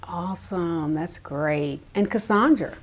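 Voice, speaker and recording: A woman's voice: fairly low pitch, takes it slowly. A woman in her fifties hosting a talk show, gushing with praise and enthusiasm as she leads the conversation. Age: 50s. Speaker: show host.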